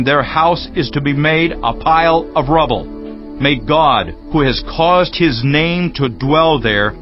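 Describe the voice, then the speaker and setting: An actor playing a stern, commanding Persian king issuing a royal decree in formal, measured, authoritative speech. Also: fairly high pitch. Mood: stern, solemn. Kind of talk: dramatized scripture reading. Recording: dull lo-fi audio under clear music. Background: music.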